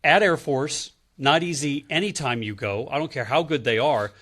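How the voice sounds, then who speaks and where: A man's voice: mid-range voice, normal speed, a bit bright. A man with an American accent giving casual sports analysis as a panelist.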